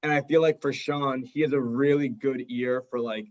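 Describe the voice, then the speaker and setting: A man's voice: medium pitch, steady tone, normal speed, dull audio. A man in a band chatting casually in an interview, joking and rambling, with lots of "like". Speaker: band drummer being interviewed.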